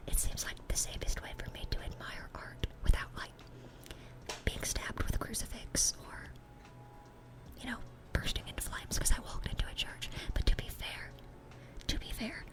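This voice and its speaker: A woman's voice: on the high side, close to an everyday voice. Whispering dorky demon girl, voiced by a woman. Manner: rambling, stammering, eager and awkward. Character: dorky, chatty, sweet demon girl with horns and fangs. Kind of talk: whispered ASMR roleplay.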